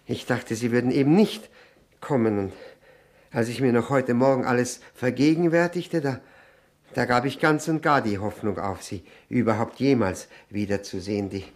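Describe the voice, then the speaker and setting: A man's voice: medium pitch, normal speed, natural voice. An actor in a German radio drama playing a nervous, servile drinking widower, stammering, flustered and repeating his words. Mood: embarrassed, apologetic.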